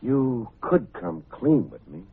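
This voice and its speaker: Wry radio private eye, muffled old recording. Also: male voice, medium pitch, very animated, takes it slowly, gravelly, slightly rough, exaggerated voice. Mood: needling. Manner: wry, clipped, sardonic. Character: wry, hard-boiled male private detective, needling and cool. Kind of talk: old-time radio detective drama acting with first-person narration.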